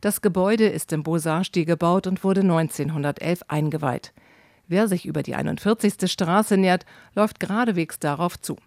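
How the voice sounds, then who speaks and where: A man with a slightly higher voice than most reads travel narration in a measured, descriptive way. The sound is dry and close, with no room around it. He keeps an even, scripted reporter's pace. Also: no echo.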